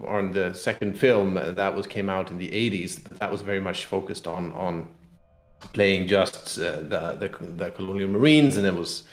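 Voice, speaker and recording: A man with a Swedish accent answering interview questions casually, haltingly restating phrases as he explains. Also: low voice. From Sweden. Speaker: guest, game designer and company head. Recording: echoey room sound.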